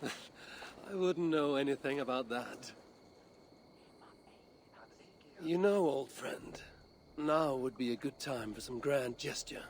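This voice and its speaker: A man's voice: mid-range voice, a little slow, lightly acted. Self-assured Norse god for game cutscenes, male actor, mid-range voice, teasing and lofty, over audible ambience. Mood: playful. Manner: wry, eloquent, lofty. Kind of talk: video game cutscene acting. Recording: clear background ambient noise.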